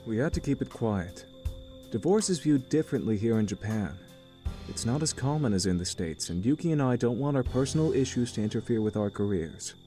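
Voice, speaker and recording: A man playing a weary, middle-aged uncle with a failing marriage, earnest and measured, in a visual novel game. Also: mid-range voice, lively intonation, mellow, faint music behind, natural voice. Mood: weary, gentle.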